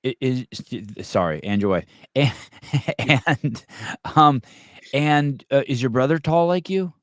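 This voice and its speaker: Man host with halting questions, dry sound. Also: medium pitch, lively intonation, a bit bright, no echo. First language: Armenian. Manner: rambling, halting questions, frank. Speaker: podcast host. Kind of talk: casual interview talk.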